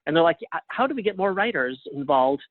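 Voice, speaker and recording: A man giving casual interview answers as a guest over a phone line, in a halting way with frequent restarts. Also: a little high, expressive, no room sound. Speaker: guest, playwright and librettist. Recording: thin phone-line sound.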